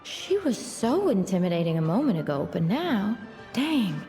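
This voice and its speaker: A woman plays an excitable young bystander in a video game. Her delivery swings up and down in hushed, slangy admiration. The voice carries a long reverb over faint music. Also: mid-range voice, moderate pace, natural voice. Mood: awed. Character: awestruck, excitable young female onlooker.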